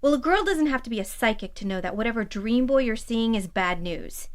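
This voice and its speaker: Woman as a blunt, high-voiced college roommate. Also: animated, fairly quick, natural voice. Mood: sceptical, scolding. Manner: blunt, slangy. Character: blunt, sceptical young female college roommate. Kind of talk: audio drama acting.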